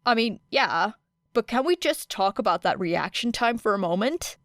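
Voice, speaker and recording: A woman reading a fan audiobook, voicing an apathetic teenage boy who speaks flatly and curtly. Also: mid-range voice, normal speed, no room sound, close to an everyday voice. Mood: dry. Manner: flat, dry, curt.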